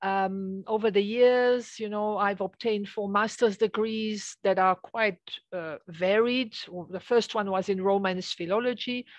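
A woman from Belgium gives an academic talk online as a guest professor. Her delivery is halting and conversational, at a middling pitch, picked up dry and close with very little room sound. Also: fairly even intonation, dry recording, dull audio. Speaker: associate professor, guest speaker. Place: small office with bookshelves, indoors. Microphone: headset on a video call.

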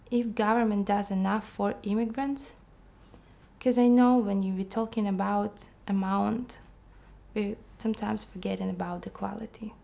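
A young woman with a Russian accent speaks in an earnest, reflective way, now and then pausing to find her words. Her voice is mellow and dark with a gravelly edge of fry. She sits in a quiet indoor studio. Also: mid-range voice. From Kazakhstan. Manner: earnest, reflective, slightly halting. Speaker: immigrant counselor. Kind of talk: personal testimony to camera.

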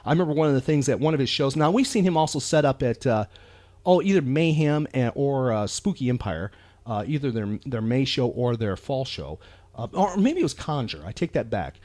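A man's voice: medium pitch, big pitch swings, brisk, darkish tone, no echo. An American man hosting a podcast, chatting in a casual, folksy, rambling and emphatic way. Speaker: podcast host.

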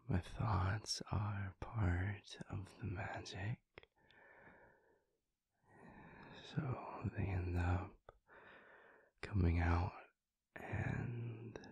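Male actor for dreamy, affectionate boyfriend, ASMR roleplay; husky and breathy, very slow, rambling delivery, close dry sound. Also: fairly low pitch, darkish tone, no echo, exaggerated voice. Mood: dreamy, loving. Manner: halting, rambling, drowsily giggly. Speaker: audio roleplay performer. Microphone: close, dry studio microphone.

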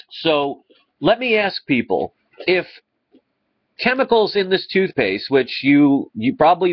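A man's voice: on the high side, no room sound. Congested man on a dull webcam. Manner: earnest, rambling with restarts. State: congested, stuffy nose. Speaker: vlogger. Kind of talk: casual talk to camera. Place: living room indoors. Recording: slightly dull lo-fi webcam audio.

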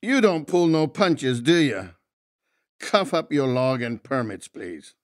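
An actor playing a young, wry highway cop in an audiobook, gravelly and colloquial. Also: male voice, very animated, slow pace, no room sound, clean studio sound. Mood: brash. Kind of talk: dramatized audiobook dialogue.

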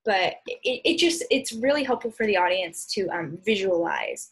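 A young American woman with a husky, slightly breathy voice teaches informally. She explains in a hesitant way with trailing asides, at a middle pitch. It is recorded on a computer mic in a small room at home. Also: animated, moderate pace. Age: young adult. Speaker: speech and debate instructor. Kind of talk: informal slide presentation. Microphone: computer mic on a video call.

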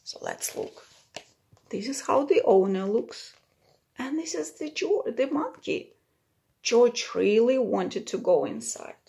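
A woman's voice: sits high, gravelly, dark tone. A middle-aged woman reading a picture book aloud to young children, explaining it simply and putting questions to the listeners. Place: small plain room indoors.

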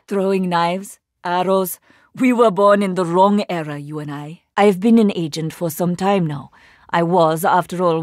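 A woman's voice: on the low side, a little mellow, dry recording, studio quality, natural voice. An actress playing a proud, poised Indian woman agent in a video game, with an Indian accent and formal, precise, dryly sarcastic phrasing. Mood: reflective. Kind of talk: video game character voice lines.

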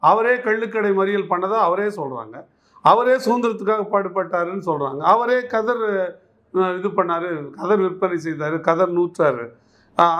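A man speaks in a notably high-pitched voice. He comments on politics as an interviewee, pressing his case with emphatic repetition and pointed rhetorical questions. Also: fairly bright tone. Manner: argumentative, emphatic, repeats phrases and poses rhetorical questions.

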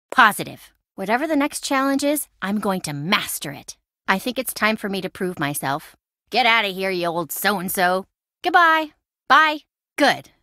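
A woman's voice: mid-range voice, sharp tone, no room sound, studio quality. An actress voicing a chatty, impulsive young woman in a video game, breezy, wry and self-dramatizing. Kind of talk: video game voice acting, conversational.

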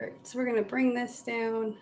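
A woman's voice: a little high, little pitch movement, normal speed, darkish tone. A woman from Minnesota with a Minnesota accent, chatting casually and playfully as she hosts a live painting demonstration. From United States, Minnesota. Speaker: host, painter. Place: indoor art studio at a paint-covered worktable.

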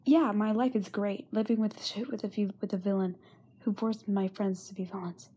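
A young woman playing a frightened, self-doubting young singer in a halting diary monologue, often dropping to a whisper. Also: mid-range voice, fairly even intonation, mellow, breathy edge, lightly acted. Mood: bitter, sarcastic. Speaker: amateur voice actor. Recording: noisy makeshift amateur microphone recording.

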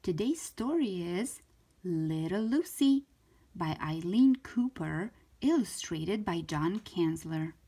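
A woman reading a storybook aloud for young children in an expressive, deliberate way, stretching out key words. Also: lowish voice, slightly rough. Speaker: children's storybook reader, show host.

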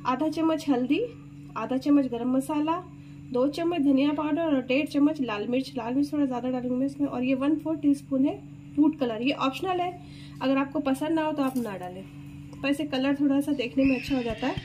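High-voiced woman hosting a home cooking video. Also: brisk, darkish tone. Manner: chatty, explains each step to viewers. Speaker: cooking channel host. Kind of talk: step-by-step cooking demonstration. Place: home kitchen. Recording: noisy home recording.

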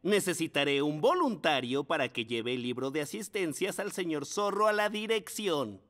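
Lively cheerful mole schoolteacher. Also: female voice, low-pitched, normal speed, slight background noise. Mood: kindly, instructive. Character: cheerful, encouraging male mole kindergarten teacher. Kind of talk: children's cartoon dub acting.

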